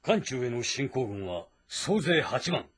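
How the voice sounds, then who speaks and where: An actor playing a composed, respectful military strategist who gives measured, formal briefings in a historical game drama. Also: male voice, medium pitch, sing-song, fairly bright tone, breathy edge, exaggerated voice. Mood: composed, assured.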